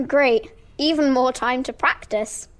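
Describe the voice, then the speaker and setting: A helpful, friendly policewoman in an amateur radio play, acted by a girl. Her voice is very high and plain, with little disguise. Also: slow pace, fairly bright tone. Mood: encouraging. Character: Jilly, Bob's helpful female police friend.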